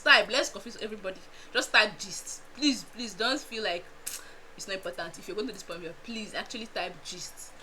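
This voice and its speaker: A young Nigerian woman with a Nigerian accent, chatting casually to her viewers in an animated storytime vlog, laughing often and making asides. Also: medium pitch, brisk, a little hiss or hum. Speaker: vlogger.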